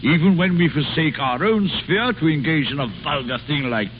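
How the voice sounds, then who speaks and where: An actor playing a pompous, snobbish, easily impressed aristocratic uncle in an old radio comedy. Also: male voice, a little high, expressive, moderate pace, natural voice. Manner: pompous, marvelling. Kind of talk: 1930s radio comedy acting. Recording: old radio broadcast, muffled with faint hiss.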